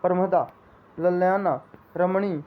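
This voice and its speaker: A man's voice: high-pitched, fairly even intonation, takes it slowly. A man teaching an exam-preparation class in an instructional style, explaining in a repetitive, drilling way. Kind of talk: instructional lecture with memory tricks. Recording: dull lo-fi audio.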